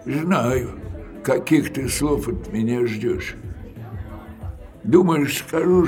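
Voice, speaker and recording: A man's voice: medium pitch, a little mellow. An actor playing a talkative, grumbling old working-class man in an audio drama, rambling colloquially.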